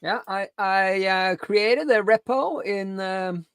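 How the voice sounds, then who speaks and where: A woman co-hosting a stream in casual chat, giving brief, halting replies. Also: medium pitch, clear, resonant tone, no echo, studio quality. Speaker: stream co-host.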